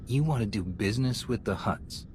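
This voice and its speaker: Casting: actor, male, as a firm heroic commander for a game, lowish expressive voice, measured and direct, music underneath. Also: slight background noise, lightly acted. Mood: businesslike. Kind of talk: video game cutscene acting. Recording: music behind the voice.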